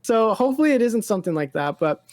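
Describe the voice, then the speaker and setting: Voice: mid-range voice, very animated, fast, darkish tone. Podcast cohost just chatting, kinda rambly, keeps repeating phrases. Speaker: podcast co-host. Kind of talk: casual pop culture chat.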